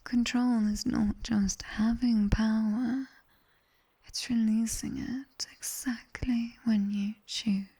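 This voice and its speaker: Casting: actress as British nightmare demoness tutor for ASMR roleplay; breathy whisper, very slow, teasing but commanding, close to the mic. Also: female voice, fairly high pitch, fairly even intonation, mellow, exaggerated voice. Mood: hushed, stern. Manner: measured, strict, teasing. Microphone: close binaural microphone.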